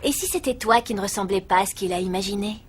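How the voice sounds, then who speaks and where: A woman's voice: mid-range voice, quick pace, slightly rough, natural voice. An actress playing a chatty, teasing teenage girl.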